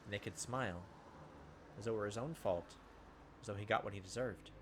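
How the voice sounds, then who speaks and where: A man's voice: on the low side, a little fast, slight background noise. A man reading visual novel text aloud in a theatrical, emotive way as a gaming video host. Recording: background music under the voice. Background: game music.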